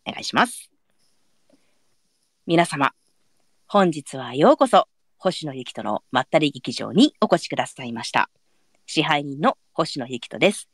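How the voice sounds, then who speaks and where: A woman's voice: deep voice, big pitch swings, unhurried, pauses often, no room sound. A woman hosting a livestream, making polite announcements in courteous, formal phrasing. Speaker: host and theatre announcer.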